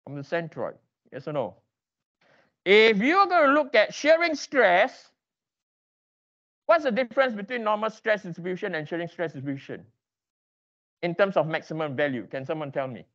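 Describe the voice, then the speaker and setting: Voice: mid-range voice, big pitch swings, normal speed, pauses often, a bit bright, lo-fi sound. An engineering lecturer teaching interactively in a classroom, quizzing students with emphatic, repeated questions and prodding them for answers.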